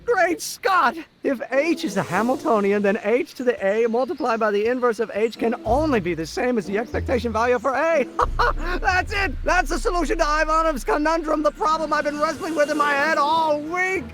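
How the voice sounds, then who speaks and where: An actor playing an excitable, earnest young American inventor in a video game, with a theatrical, formal and eager delivery. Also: male voice, very high voice, normal speed. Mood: thrilled discovery.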